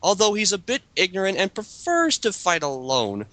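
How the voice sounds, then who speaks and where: A man's voice: high-pitched, sing-song, moderate pace, fairly bright tone, dry recording. A man doing a dramatic reading of a written character bio, breaking into casual commentary as host.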